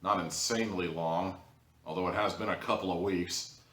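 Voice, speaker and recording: A man's voice: low voice, fairly even intonation, normal speed, fairly bright tone. A man giving a casual, humorous review to viewers, rambling along with wry asides and mock-dramatic emphasis. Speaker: audio drama reviewer, show host. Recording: roomy echo, distant mic.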